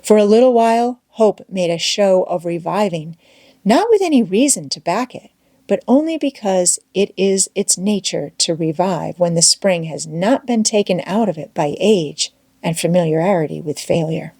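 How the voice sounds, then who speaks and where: A woman reading a classic novel aloud as an audiobook narrator, with expressive, dramatic delivery. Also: lowish voice, normal speed, no echo, studio quality. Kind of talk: scripted storytelling narration.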